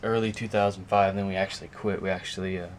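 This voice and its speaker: A man giving a casual, unscripted show-and-tell to a camera, talking haltingly and thinking aloud as he goes. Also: sits low, flat delivery. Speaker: video creator showing a music collection. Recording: clear background noise, amateur camera audio.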